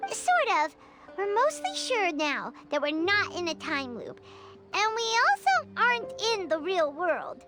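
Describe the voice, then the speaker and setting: Tiny excitable fairy companion for a game: extremely high, childlike, squeaky, very animated. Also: female voice, unhurried, exaggerated voice. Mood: eager, questioning. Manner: chirpy, speaks of self in third person. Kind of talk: video game voice acting. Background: game music.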